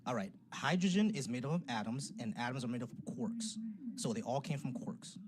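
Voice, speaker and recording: A man's voice: medium pitch, big pitch swings, a little fast. A man hosting a casual call-in debate stream, talkative and loose, laughing easily. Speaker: livestream host. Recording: noisy livestream audio.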